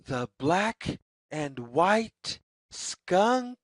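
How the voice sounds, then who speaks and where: A woman reading a picture book aloud to young children as a narrator, with deliberate, measured, sing-song phrasing. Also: on the low side, big pitch swings, no room sound.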